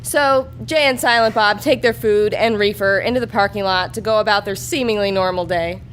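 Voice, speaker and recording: A woman's voice: mid-range voice, a little fast, a bit bright. Woman reading comic story live, noisy recording. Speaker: story reader and performer. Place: live show before an audience.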